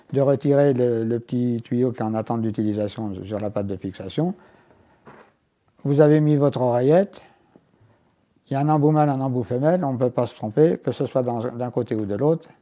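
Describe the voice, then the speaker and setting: Guy with a mellow voice, middle pitch, walking through a product demo bit by bit. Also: fairly even intonation, moderate pace. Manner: explanatory, step-by-step, with asides. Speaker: inventor and guest demonstrating his product. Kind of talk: hands-on product demonstration in an interview.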